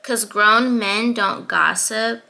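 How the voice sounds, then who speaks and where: Young female vlogger, sweet high voice with crisp brightness, frank advice, easy laughs. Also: slow. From Atlanta, US. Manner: assertive, chatty, laughs between points. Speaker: YouTuber. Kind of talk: casual advice vlog.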